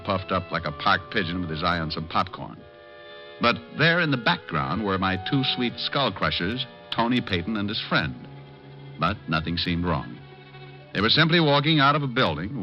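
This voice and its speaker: An actor playing a breezy, wry private detective who narrates and wisecracks in an old radio drama style. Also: male voice, low-pitched, lively intonation, normal speed, faint music behind. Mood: wry narrating. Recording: muffled old radio recording.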